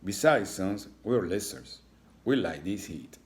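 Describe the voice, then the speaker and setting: A man's voice: low-pitched, very animated, measured pace. A man reads as a cheerful iguana dad in a children's storybook. He is athletic and upbeat, talking in a hearty, encouraging fatherly way.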